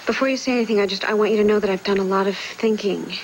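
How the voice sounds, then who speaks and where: A woman's voice: mid-range voice, fairly even intonation, racing, a little hiss or hum, close to an everyday voice, poor audio. An actress playing an anxious, determined expectant mother in a television soap, earnest and hesitant, often pleading. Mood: nervous, resolute. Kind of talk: television soap drama acting.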